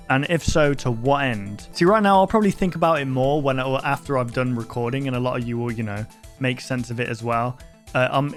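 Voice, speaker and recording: A man hosting a reaction video, thinking aloud in a casual, rambling way with many fillers and restarts. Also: mid-range voice.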